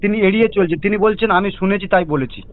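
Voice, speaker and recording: A man's voice: high voice, fast talker. A male reporter with a West Bengal Bengali accent putting deferential, persistent questions in a phone interview. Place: recorded phone call. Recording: tinny narrowband phone call.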